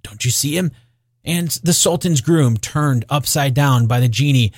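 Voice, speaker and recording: A man playing an emotional, sentimental old miser softened by memory in a storybook reading. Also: medium pitch, measured pace, no room sound, studio quality, lightly acted. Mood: excited, tearful. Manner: emotional, exclamatory, muttering when rueful.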